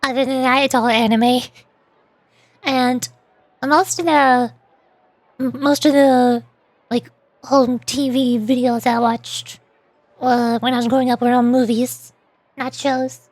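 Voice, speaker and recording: High-pitched chatty woman streamer. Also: steady tone, slow pace, long pauses, dry recording. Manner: rambling, chatty. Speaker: streamer and virtual YouTuber. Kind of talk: casual live stream chat while reading game dialogue.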